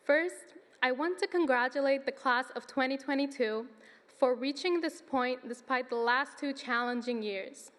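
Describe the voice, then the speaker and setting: 19 yr old woman from sudan, high voice, earnest speech at a podium mic. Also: fairly bright tone. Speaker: student commencement speaker. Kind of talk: prepared speech. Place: large hall. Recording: long reverb of a large hall.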